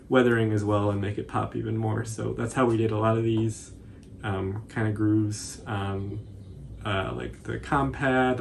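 A man in his twenties giving a casual, informal tutorial commentary in a halting, hesitant way. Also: fairly low pitch, creaky, a little hiss or hum. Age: 20s. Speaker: cosplay tutorial presenter.

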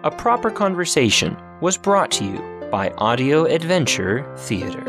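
A man serves as announcer, reading scripted credits. He speaks very slowly with animated, swinging intonation, and music plays clearly underneath. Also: on the high side. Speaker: announcer and narrator.

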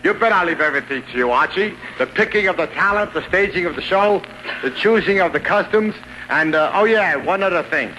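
A man's voice: high-pitched, expressive, brisk, bright, forceful tone. An actor playing a blunt, smitten moneyman bankrolling a show in a 1950s radio comedy. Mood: sardonic. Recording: noisy old radio broadcast.

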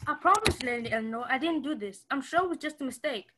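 A meek, panicky maid in a radio mystery, played by a young woman. Her high voice pleads anxiously. The recording is clearly noisy, with clicks. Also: animated, fast. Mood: pleading. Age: young adult. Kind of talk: radio play acting.

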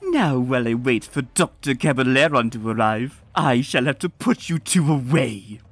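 A woman reading a comic aloud, voicing a gloating male creature villain in a pompous, theatrical, drawn-out way. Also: rumbling low, lively intonation, dry recording, voice pushed down. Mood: smug.

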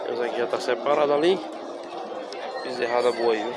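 A man's voice: mid-range voice, steady tone, brisk. Man vlogging a noisy cattle market. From Northeast Brazil. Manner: chatty, repeats prices to viewers. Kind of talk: casual walk-through commentary. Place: outdoor cattle pens. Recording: loud crowd and outdoor noise.

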